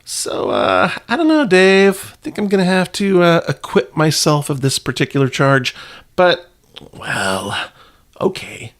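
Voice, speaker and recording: Male podcast host, very animated intonation, casual commentary, self-deprecating, playful. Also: sits high, a little slow.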